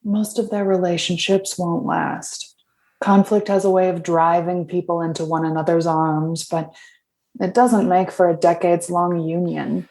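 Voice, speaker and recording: A woman playing a tough, cynical starship captain with a blunt, deadpan delivery in a comedic sci-fi radio play. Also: on the low side, unhurried. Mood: skeptical.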